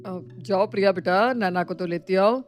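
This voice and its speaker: High-voiced, fatherly family elder played by a man. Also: normal speed, soft background music, natural voice. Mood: polite. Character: wise, fair, affectionate father-in-law, middle-aged or older. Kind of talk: animated Hindi moral story acting.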